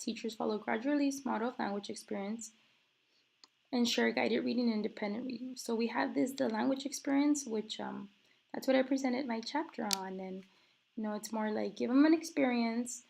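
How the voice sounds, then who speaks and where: Gravelly-voiced woman with a cough. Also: fairly high pitch, darkish tone, a little hiss or hum. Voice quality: gravelly fry. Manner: casual, with many fillers. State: recovering from illness, coughing. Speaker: student presenter. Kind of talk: reading from slides and explaining.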